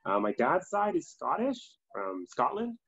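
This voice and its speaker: A man's voice: a little high, huge pitch swings, measured pace, a bit bright, breathy edge. A man in his twenties with a Canadian accent, chatting casually as a guest on a video call. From Calgary, Canada. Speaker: theatre artistic director and guest. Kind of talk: casual chat. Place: small room indoors. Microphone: laptop on video call. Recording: dull video call audio.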